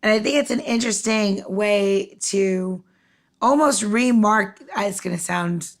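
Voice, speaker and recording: A woman's voice: mid-range voice, a little slow. A woman hosting a casual podcast interview, rambling as she thinks aloud through her questions. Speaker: podcast host.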